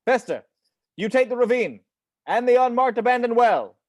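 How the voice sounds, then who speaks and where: A man's voice: very high voice, animated, normal speed, darkish tone, no room sound, studio quality. A man playing a theatrical, devoted family patriarch with grandiose, declamatory delivery in a film script table read. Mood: brisk, encouraging.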